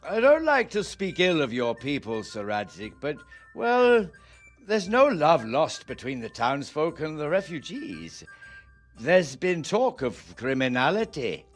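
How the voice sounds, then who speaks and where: A man's voice: on the high side, wildly swooping pitch, a little slow, faint music behind, heavily acted. A man voicing a worried town priest who questions his lords uneasily and hesitantly. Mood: uneasy. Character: worried male town priest, plain-spoken. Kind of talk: video game cutscene acting.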